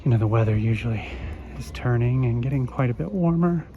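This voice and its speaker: A man with a hoarse voice, still recovering from an illness, strolls a residential street and gives a rambling walking-tour commentary full of ums. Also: mid-range voice, lively intonation. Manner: rambling, unhurried, many fillers. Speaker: vlogger. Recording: dull outdoor phone audio with traffic. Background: street traffic.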